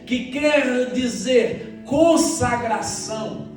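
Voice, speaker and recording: A man's voice: extremely high pitch, very slow, heavy reverb. Male Brazilian preacher, exhorting and repetitive, delivering a church sermon; distant from the mic with faint music behind. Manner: exhorting, repeats phrases, addresses congregation directly. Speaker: pastor. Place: church hall during a morning service.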